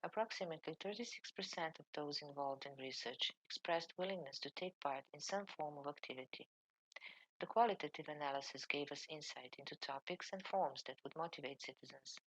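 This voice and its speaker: A woman from Serbia, a librarian, reading a formal conference presentation in a measured way. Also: deep voice, fairly bright tone, dry recording, low-quality recording.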